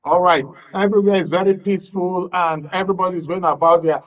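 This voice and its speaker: High-voiced Nigerian man hosting live coverage. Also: steady tone, fast, lo-fi sound. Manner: courteous, repeats himself. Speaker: programme host. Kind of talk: live election coverage with phone-ins.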